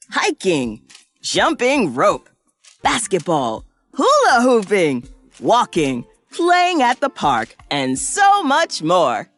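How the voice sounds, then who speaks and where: Excitable female cartoon professor, very wide swooping pitch, slow delivery for little kids. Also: no room sound, exaggerated voice. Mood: enthusiastic, explaining. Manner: exaggerated, sing-song, slow and clearly explanatory. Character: cheerful, excitable woman science professor for young children. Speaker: presenter of a children's science cartoon. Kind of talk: scripted educational cartoon narration.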